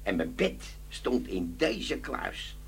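Cheeky old Dutch army sergeant. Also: male voice, mid-range voice, sing-song, slow pace, vocal fry, heavily acted. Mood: reminiscing. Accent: Netherlands Dutch. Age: older. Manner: informal, wry, rambling, nosy. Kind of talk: radio play acting. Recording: noisy, humming old radio drama recording.

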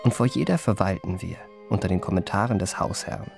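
An actor playing a reflective gentleman memoirist, narrating a radio play in ornate literary phrases with a creaky voice. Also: low voice, lively intonation, music in the background. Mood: reflective, marvelling. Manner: ornate, measured literary delivery.

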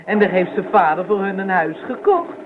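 A woman's voice: medium pitch, big pitch swings, normal speed, lightly acted. A woman playing a delighted, homely, chatty middle-aged mother in a radio play. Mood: cheerful, excited. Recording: noisy, dull old radio recording.

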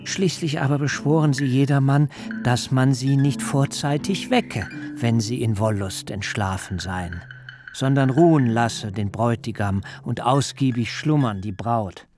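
An actor playing Jakob, a young bridegroom, telling of his wedding night in a measured, hushed, intimate radio-drama reading. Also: mid-range voice, music in the background, natural voice. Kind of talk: literary radio drama, first-person narration.

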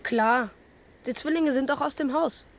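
A proud young farm boy in a radio drama. The voice is fairly high, blunt and determined, with faint noise and ambience behind it. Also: a little slow. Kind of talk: radio play acting.

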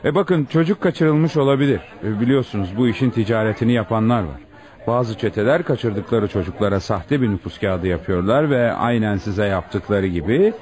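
An actor playing a cautious, courteous registry office manager in a radio drama, formal and deliberate, often hemming. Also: male voice, fairly low pitch, darkish tone, lightly acted. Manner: hesitant hems, formal, deliberate.

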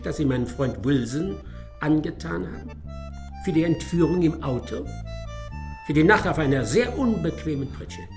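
A smug gentleman detective from England in a radio play. His fairly high male voice moves animatedly up and down as he savours each phrase with dry irony and courtesy. Faint music runs behind him. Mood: gloating. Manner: deliberate, dryly ironic, savouring each phrase. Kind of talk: German radio drama acting.

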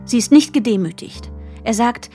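A woman performing as a detached narrator of a young woman's story in a radio drama, measured and matter-of-fact. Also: a little high, expressive, dark tone, faint music behind, close to an everyday voice. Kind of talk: literary radio drama narration, third person.

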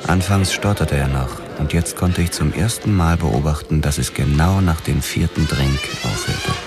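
An actor playing a brooding, world-weary detective who narrates a radio drama in a measured, sombre manner. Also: male voice, a bass voice, wildly swooping pitch, loud music behind the voice, exaggerated voice. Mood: reflective. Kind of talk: scripted first-person radio drama narration.

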